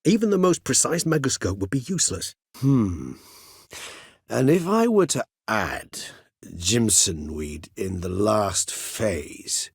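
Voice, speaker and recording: Game role: elderly vampire gentleman-scholar. Measured, bookish, gently weary; a touch husky, recorded close and dry. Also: lowish voice, big pitch swings, no echo, exaggerated voice. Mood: measured, pensive. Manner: measured, courtly, bookish phrasing with thoughtful hums. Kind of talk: video game character dialogue.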